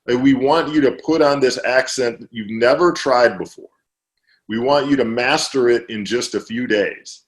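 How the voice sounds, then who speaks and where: A man being interviewed as an author, explaining at length in relaxed conversation, with fillers and restarts. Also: mid-range voice, moderate pace, rough recording.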